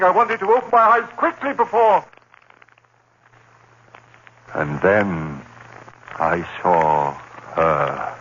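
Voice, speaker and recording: A haunted, slow, intense condemned man. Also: on the low side, a bit bright, lo-fi sound. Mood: dread, then horrified fascination. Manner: halting, anguished, dramatic broken phrases. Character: haunted, terrified condemned man recounting his nightmare. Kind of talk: 1940s radio horror acting. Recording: noisy, band-limited old radio recording.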